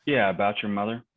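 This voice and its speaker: A man playing an awkward, resigned father who is gently reassuring in a hesitant manner, in a drama table read. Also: on the low side, full character voice. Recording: thin phone-like video call.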